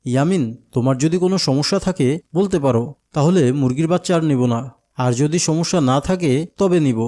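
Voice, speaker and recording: A friendly cartoon big-brother figure, played by a man with a Bangladeshi accent. His voice is mellow and slightly high, patiently coaxing and explaining. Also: fairly quick, lightly acted. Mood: persuading. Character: friendly coaxing male older companion of a small child. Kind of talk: cartoon dialogue.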